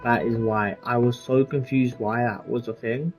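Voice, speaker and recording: A man's voice: medium pitch, fairly even intonation, normal speed, low-quality recording. A young man giving casual gameplay commentary, rambling informally as he thinks aloud. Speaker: gaming streamer. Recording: game music behind the voice.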